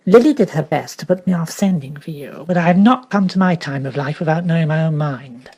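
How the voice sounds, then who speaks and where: A man's voice: fairly high pitch, animated, brisk, darkish tone, breathy edge. A man doing an emphatic old lady. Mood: insistent. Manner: emphatic, insistent. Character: forthright elderly English lady, emphatic and stubborn.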